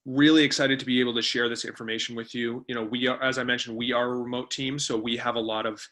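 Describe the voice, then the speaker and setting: Guy hosting an online webinar, bright clear-cut voice, informal explainer style. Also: mid-range voice, little pitch movement, fast. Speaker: webinar presenter, company co-founder. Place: remote webinar.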